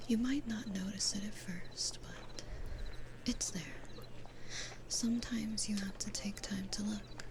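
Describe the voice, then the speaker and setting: A woman playing a gentle, patient, kindly demon florist in an ASMR roleplay, in a soft breathy whisper with a soothing, nurturing manner. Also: mid-range voice, background noise, close to an everyday voice. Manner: slow, soothing, nurturing, unhurried.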